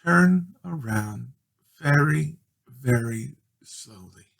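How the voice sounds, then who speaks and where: Man voicing a bossy young girl, pitched up. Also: animated, drawn-out words, vocal fry, a bit bright, heavily acted. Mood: hushed, horrified. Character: bossy, determined young girl, older sister.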